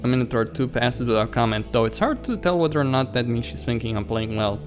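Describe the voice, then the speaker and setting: A man's voice: mid-range voice, expressive, quick pace, soft background music. A man hosting a let's play, reading a visual novel aloud and stumbling over words now and then, with casual side comments.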